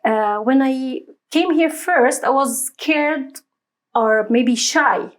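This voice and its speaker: A woman in her thirties from Tunisia speaks English with a Tunisian Arabic accent. Her voice sits fairly high, and she pauses often with fillers. She laughs often as she talks in a relaxed interview. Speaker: guest. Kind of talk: conversational interview.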